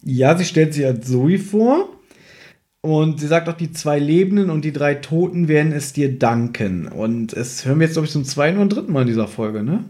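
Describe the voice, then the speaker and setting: A man's voice: fairly high pitch, lively intonation, normal speed, dark tone. A man co-hosting a podcast, chatting casually and conversationally as he recaps an audio drama from his notes. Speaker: podcast co-host.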